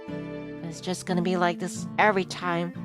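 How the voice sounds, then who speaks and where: A woman's voice: on the low side, monotone, normal speed. A woman streamer reading a visual novel aloud, mixing narration with casual asides. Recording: music behind the voice.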